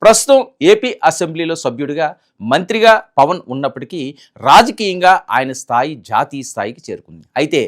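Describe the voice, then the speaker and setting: Animated, higher-pitched man presenting news. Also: a little fast. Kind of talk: scripted political news analysis narration.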